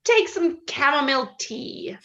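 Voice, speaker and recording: A woman playing a dismissive, philosophizing country doctor in a play reading over a video call, measured and matter-of-fact. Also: high voice, animated, pushed and bright, exaggerated voice. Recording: slightly dull video-call audio.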